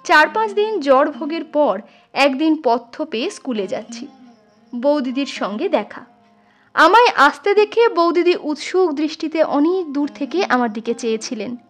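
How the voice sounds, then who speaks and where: A woman reads literary fiction as an expressive audiobook storyteller. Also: high-pitched. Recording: music under the voice. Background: instrumental music.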